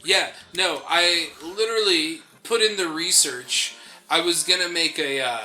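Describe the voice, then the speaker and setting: A man's voice: a little high, a little slow, sharp tone, poor audio. A young man co-hosting a gaming show, chatting casually in a rambling, slangy way as he plays. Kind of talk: casual chat during video game commentary. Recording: game music behind the voice.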